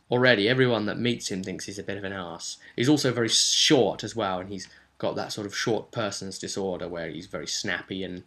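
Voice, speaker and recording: A man recounts a role-playing game in casual, rambling fashion. His voice sits a little low but has a bright, forceful edge. He is chatty and halting, often restarting as he tells the tale. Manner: chatty, halting, acts out short bits of dialogue. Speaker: game master recapping his tabletop role-playing session.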